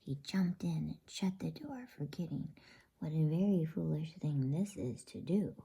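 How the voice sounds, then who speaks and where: A woman reading a bedtime story aloud as ASMR, in a soft, slow and calm unvoiced whisper. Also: low-pitched, gravelly, breathy edge.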